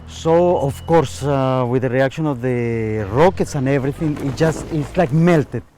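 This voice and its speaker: A man's voice: mid-range voice, unhurried, warm tone, slight background noise. A man, a chef guiding a visitor on location, speaking earnestly with restarts. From Colombia. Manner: earnest, with frequent restarts and fillers. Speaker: chef and local guide. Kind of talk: on-location interview, explaining. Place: bakery, on location.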